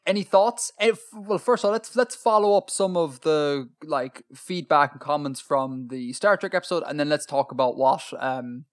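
A man's voice: on the high side, moderate pace, few pauses. A man co-hosting a podcast in casual chat, talkative and self-deprecating, with plenty of ums. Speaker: podcast co-host.

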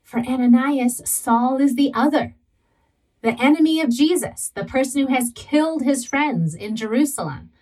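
A woman from Southern California preaching a sermon and telling her own faith story in an earnest, deliberate way. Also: high-pitched, animated, darkish tone, distant mic, low-quality recording. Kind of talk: sermon with personal testimony.

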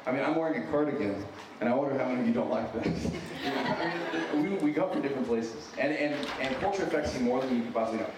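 An American man with a slight Minnesotan accent speaks as a conference preacher in a mid-range voice. He is earnest, casual and self-deprecating. The sound is very reverberant and distant, with clear background noise. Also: mid-range voice, fast, few pauses. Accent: American with a slight Minnesotan touch. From United States, born in San Diego and raised in Wyoming. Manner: informal, self-deprecating, earnest, emphatic repetition. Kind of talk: conversational sermon to an audience. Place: large echoey room with an audience. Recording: very echoey noisy hall, distant mic.

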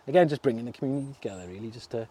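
Chatty Merthyr-accented man, mellow voice. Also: medium pitch, rapid-fire, breathy edge. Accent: South Wales (Merthyr). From Merthyr Tydfil, Wales. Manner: chatty, laughs often, many fillers and restarts. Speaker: fishing club secretary, guest. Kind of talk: casual interview chat.

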